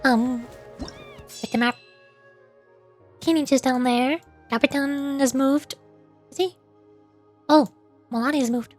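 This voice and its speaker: A woman's voice: sits high, fairly even intonation, takes it slowly, pauses often, darkish tone. A woman streamer giving casual gameplay commentary, playfully muttering to herself as she plays. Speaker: streamer, vtuber. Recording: game music behind the voice. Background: faint game music.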